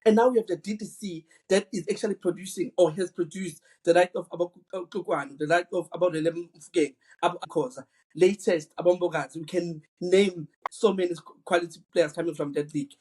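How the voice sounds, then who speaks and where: A man's voice: high voice, fairly even intonation, brisk, darkish tone, slightly rough. A man, talking football in a casual podcast chat, earnest and often repeating words as he thinks. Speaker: podcast co-host.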